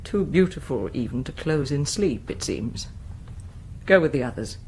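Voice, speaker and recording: A woman's voice: deep voice, sing-song, moderate pace, darkish tone, a little hiss or hum. Troubled, imperious Mother Superior. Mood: brooding. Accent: British. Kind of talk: radio drama acting.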